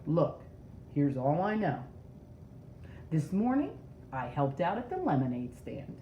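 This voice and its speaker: A woman playing an indignant, melodramatic storybook fellow, wildly swooping pitch. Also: big pitch swings, measured pace. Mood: insistent. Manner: accusing, melodramatic, sarcastic. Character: indignant, self-important male storybook character accusing his friends. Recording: roomy echo, dull sound, faint noise.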